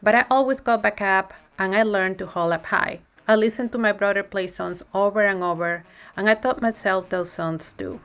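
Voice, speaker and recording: A woman narrating a children's picture book in an expressive read-aloud style, with deliberate phrasing and emphasized words. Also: mid-range voice, clear, resonant tone. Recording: music playing behind the voice. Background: music.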